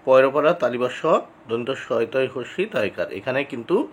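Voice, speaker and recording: A man giving an instructional exam lesson, reading out questions and answers deliberately, repeating key points and addressing students directly. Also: mid-range voice, expressive, a little hiss or hum. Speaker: exam tutor.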